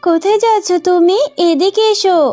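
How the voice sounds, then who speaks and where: A woman voicing a kind, motherly fox aunt who sells rice cakes, gentle and encouraging, in a children's cartoon. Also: very high-pitched, steady tone, normal speed, soft background music.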